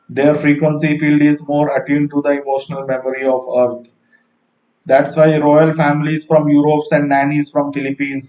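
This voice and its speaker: A man's voice: a little high, dark tone, echoey room, recorded from a distance, highs cut off. A man with an Indian accent, talking in an earnest, rambling way, with small laughs and a habit of saying "guys". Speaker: spiritual video host. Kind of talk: casual monologue to camera.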